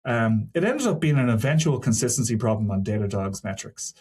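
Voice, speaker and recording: A man's voice: on the low side, animated, fairly quick, a little mellow, breathy edge. A man speaking as a podcast guest and senior engineer, explaining technical work in an informal, discursive way with frequent asides. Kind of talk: casual technical interview talk.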